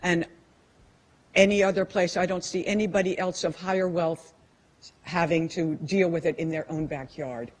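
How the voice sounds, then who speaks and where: A woman resident with a big voice speaks at a council meeting's public comment, pleading forcefully with some restarts. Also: lowish voice, steady tone, moderate pace.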